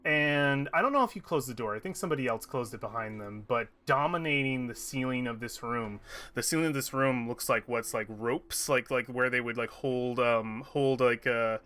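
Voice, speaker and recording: A man runs a tabletop game, describing rooms in a loose, rambling way. He drops in fillers and often restarts his sentences. His tone is bright and forceful, at a middle pitch. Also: normal speed. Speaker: game master.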